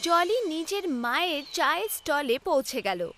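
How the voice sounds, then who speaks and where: A woman tells a scripted children's story in a high voice. Her pitch moves animatedly as she narrates, and music plays clearly behind her. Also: big pitch swings, unhurried, bright, forceful tone.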